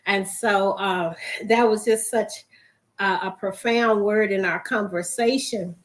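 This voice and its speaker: Hoarse woman speaking slowly. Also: mid-range voice, steady tone. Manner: keeps clearing her throat; reflective, encouraging. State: hoarse, losing her voice. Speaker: host of a faith broadcast. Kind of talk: devotional broadcast talk.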